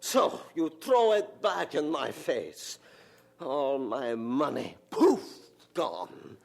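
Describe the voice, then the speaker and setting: Radio drama role: self-pitying, grasping old German father, German accent, swinging widely in pitch, deliberate pace. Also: sing-song, creaky, heavily acted. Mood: indignant. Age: older. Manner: emphatic, guilt-tripping, inverted foreign-sounding phrasing.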